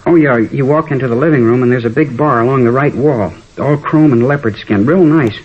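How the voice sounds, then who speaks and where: A man plays a troubled high school boy in a 1950s radio drama. He talks briskly in schoolyard slang, hesitant yet cooperative. The vintage recording is dull and muffled. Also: husky, natural voice. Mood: forthcoming.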